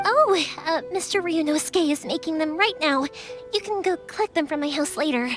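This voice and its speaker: A woman's voice: high-pitched, lively intonation, normal speed, fairly bright tone, faint music behind. An actress playing a kind, spirited young woman in a video game, protective and upbeat. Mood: friendly, caring. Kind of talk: English video game voice acting.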